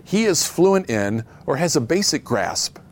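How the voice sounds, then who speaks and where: A man's voice: on the high side, sing-song, unhurried, a little hiss or hum. A man interviewing a guest and narrating with a wry, conversational touch.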